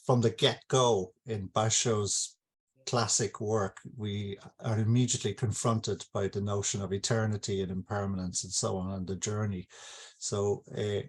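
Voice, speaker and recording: Low-voiced, reflective Irish man. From Ireland. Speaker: author hosting his book launch. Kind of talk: informal talk to an online gathering.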